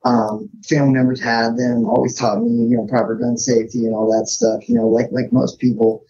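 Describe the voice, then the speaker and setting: Husky, gravelly man chatting on a podcast. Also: medium pitch, big pitch swings, brisk, warm tone, muffled recording. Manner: laid-back, self-deprecating. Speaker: podcast guest, firearms author. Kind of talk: casual interview chat.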